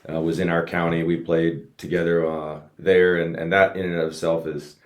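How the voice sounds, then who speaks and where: A man's voice: low voice, level tone, normal speed. A man raised in the United States, a race car driver, telling his story earnestly as a guest in a casual podcast interview. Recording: roomy echo.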